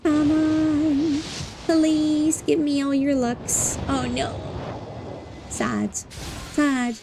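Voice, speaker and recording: A woman's voice: high voice, level tone, drawn-out words, music in the background. A woman livestreaming a game, chatting casually and playfully with her viewers, repeating words and drawing them out. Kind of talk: casual gaming stream chat with viewers.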